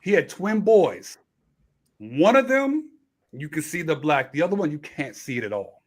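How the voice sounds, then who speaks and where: A man's voice: on the high side, big pitch swings, moderate pace. Talkative man with a raised voice. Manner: talkative, restarts, explains at length. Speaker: host. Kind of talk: casual panel discussion.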